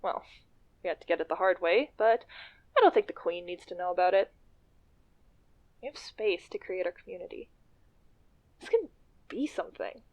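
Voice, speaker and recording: A woman's voice: on the low side, animated, moderate pace, long pauses, lightly acted. A woman playing an outspoken, ambitious female activist leader in a naturalistic radio play, on a dull recording. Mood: weary, exasperated.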